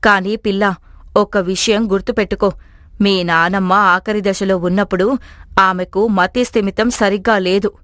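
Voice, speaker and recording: A woman voicing an exasperated, sarcastic middle-aged mother in an animated moral story. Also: mid-range voice, normal speed, dry recording, studio quality, natural voice.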